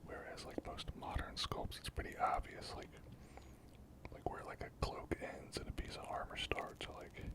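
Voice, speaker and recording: A hobby host speaking in a whisper, unhurried and thinking aloud in an ASMR painting commentary.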